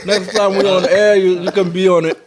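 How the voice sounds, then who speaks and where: A man with a high voice chats loosely with his friends, joking and swearing in slang and circling back over his own phrases. Other voices are clearly audible around him. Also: little pitch movement, fairly quick, crowd noise. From Somalia. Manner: joking, slangy, profane, repeats himself. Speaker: podcast co-host. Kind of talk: casual chat among friends. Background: other people's voices.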